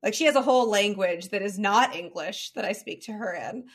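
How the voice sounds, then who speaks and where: A woman's voice: medium pitch, fairly quick, slightly rough. A young woman with a light Southern Californian lilt, talking casually as a podcast host. Her voice sits mid-range, and she is candid and chatty, breaking into laughs between thoughts. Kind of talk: casual chat.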